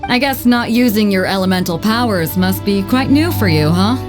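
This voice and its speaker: A woman's voice: mid-range voice, normal speed, music in the background, natural voice. A woman voicing a confident female ship captain who speaks in a bold, teasing way. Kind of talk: video game voice acting.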